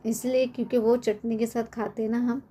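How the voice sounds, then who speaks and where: Hoarse woman chatting through a recipe. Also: fairly high pitch, little pitch movement, brisk, heavy background noise. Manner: chatty, explains step by step with frequent tag questions. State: hoarse from a cold. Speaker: cooking channel host. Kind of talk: casual cooking tutorial. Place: home kitchen at the stove.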